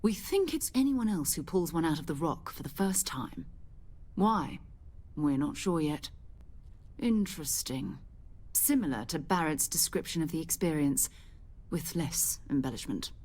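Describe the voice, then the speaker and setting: An actress playing a composed, authoritative leader of explorers in a video game, measured and businesslike. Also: female voice, sits low, animated, a little mellow. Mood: composed, probing. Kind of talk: scripted video game dialogue.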